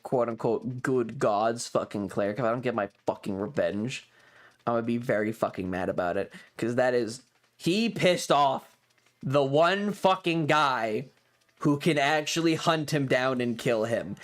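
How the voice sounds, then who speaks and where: A man chatting casually to stream viewers, telling stories emphatically with heavy swearing and repeated phrases. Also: on the high side. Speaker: livestreamer. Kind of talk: casual chat, animated storytelling to stream viewers.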